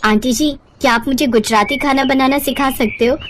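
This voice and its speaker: A woman voicing a polite young newlywed in an animated storybook tale, respectful, earnest and eager. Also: high-pitched, normal speed, background noise, close to an everyday voice. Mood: eager, hopeful.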